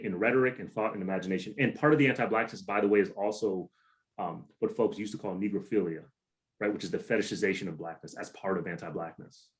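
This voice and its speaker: A man with a fairly low voice talks through a dense academic answer. He speaks casually and often repeats words as he restarts his thoughts. He leans on theoretical terms, sounding like a scholar speaking over a video call. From United States. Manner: conversational, many restarts and hedges, theoretical vocabulary. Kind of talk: academic talk answering questions.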